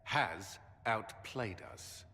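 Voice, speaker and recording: An actor playing a stern, cautious military commander in a video game, measured and serious. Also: male voice, on the low side, animated, bright, forceful tone, exaggerated voice. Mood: grave caution. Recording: long hall reverb.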